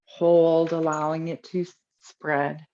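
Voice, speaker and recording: Low-pitched woman guiding meditation, muffled sound. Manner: calm, unhurried instructing with long pauses. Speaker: yoga and meditation teacher. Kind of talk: guided breathing meditation, live class.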